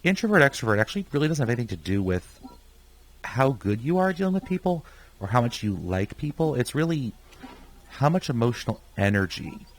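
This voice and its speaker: A man's voice: medium pitch, sing-song, fairly quick. A young man who is sick, rambling casually in live gameplay commentary and talking to himself as he plays. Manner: rambling, chatty, talks to himself while playing. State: sick, voice somewhat off. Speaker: gaming channel host.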